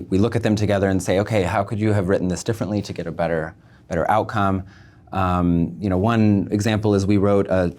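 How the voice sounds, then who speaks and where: Male interview guest, low voice, measured explanatory delivery with thoughtful pauses. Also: a little mellow. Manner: measured, explanatory, often pausing mid-thought. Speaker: guest, embassy public affairs officer. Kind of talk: thoughtful online interview answers.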